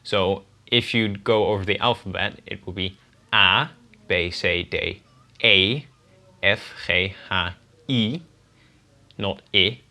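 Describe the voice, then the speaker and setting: A young man whose first language is Dutch gives a clear, methodical pronunciation lesson in English. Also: low voice, takes it slowly, pauses often, bright, forceful tone. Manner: clear, methodical explaining with spelled-out examples. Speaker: guest language teacher.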